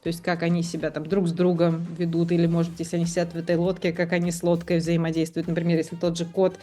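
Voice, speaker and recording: Fast-talking, mellow-voiced woman. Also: on the low side, monotone, soft background music. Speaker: podcast participant. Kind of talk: casual discussion, thinking aloud.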